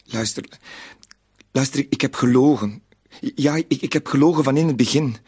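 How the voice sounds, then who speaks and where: A man's voice: mid-range voice, normal speed, darkish tone, breathy edge, close to an everyday voice. An actor in a radio play, a man, playing an anxious, cornered middle-aged bank clerk who speaks hesitantly and pleadingly. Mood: desperate, pleading. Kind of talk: Dutch-language radio drama acting.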